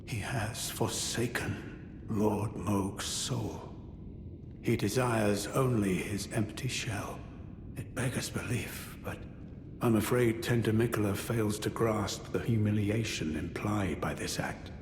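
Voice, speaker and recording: An actor playing an aged, courteous and weary former knight in a video game, speaking in formal, archaic, measured phrases with sorrowful gravity. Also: male voice, on the low side. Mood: indignant, grieving. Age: older. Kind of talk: scripted video game character dialogue. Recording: echoey hall reverb with noisy game ambience.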